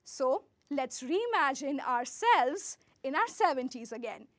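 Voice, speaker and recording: High-pitched lively woman presenting research. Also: unhurried, no echo. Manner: earnest, rehearsed, speaks to listener directly. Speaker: graduate student presenter. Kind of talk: timed research talk.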